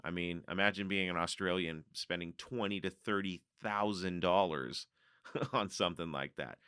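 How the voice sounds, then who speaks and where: A man's voice: low-pitched, moderate pace, sharp tone, clean tone, no room sound, studio quality. A man hosting a car podcast, reading out listener letters and chatting about them in a casual, laughing way. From North America. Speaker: podcast host.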